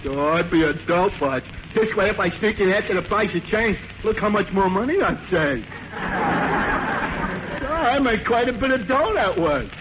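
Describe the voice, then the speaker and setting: Through a muffled, hissy vintage radio recording comes a dim-witted, amiable fellow. His voice sits high, and he stumbles along dopily, repeating himself in broad 1940s comedy fashion. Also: animated, normal speed. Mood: earnest, foolish. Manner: dopey, hesitant, repeats himself. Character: dim-witted, good-natured slow-thinking male barfly.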